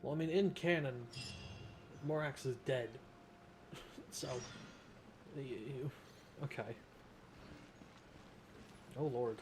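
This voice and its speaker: A man's voice: mid-range voice, slow, pauses often, background noise. A man doing casual, rambling game commentary as a streamer, often self-deprecating. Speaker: gaming streamer. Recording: game music behind the voice.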